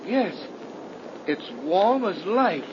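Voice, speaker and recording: A man's voice: high-pitched, animated, extremely slow, full character voice. An actor playing a nervous, easily frightened young man in an earnest 1930s radio horror drama. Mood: uneasy. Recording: noisy, dull 1930s radio recording.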